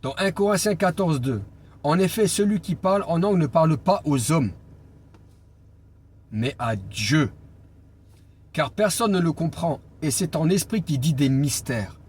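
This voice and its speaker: A man preaching an informal Bible teaching as an evangelist, speaking deliberately and pausing on key phrases for emphasis. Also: fairly high pitch, expressive, darkish tone. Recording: clear background noise and ambience.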